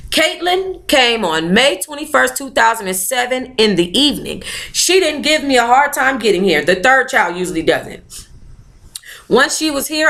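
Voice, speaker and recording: A woman hosting a book club, reading a memoir aloud and chatting in a sassy, informal, expressive way. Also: fairly high pitch. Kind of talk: audiobook-style reading with casual, chatty asides.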